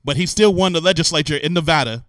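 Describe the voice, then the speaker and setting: Bright, higher-pitched man hosting. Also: fast, clear, resonant tone, no echo, studio quality. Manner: animated, incredulous, joking, slangy delivery. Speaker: host. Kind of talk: comic commentary on news stories.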